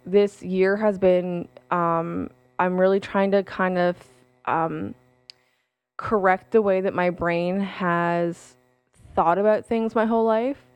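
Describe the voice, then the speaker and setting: A young adult woman talks on her own show, mixing read-aloud passages with candid personal reflection. Her voice is smooth and clear. It is recorded close and dry, with no room sound. She fills pauses with ums and corrects herself mid-sentence. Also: fairly low pitch, darkish tone, no echo. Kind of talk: casual solo talk with read-aloud passages.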